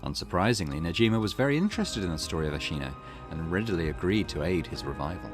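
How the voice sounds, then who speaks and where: Deep-voiced man reading a game aloud. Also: big pitch swings, quick pace. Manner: reads game text aloud, breaking off for casual asides. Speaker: gaming channel host. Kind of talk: reading a visual novel aloud with commentary. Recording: game music playing behind.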